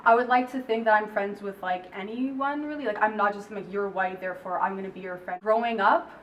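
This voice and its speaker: A woman's voice: medium pitch, a little fast, fairly bright tone, highs cut off. A young woman whose first language is Polish, answering interview questions casually with many filler words. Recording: distant mic, faint noise.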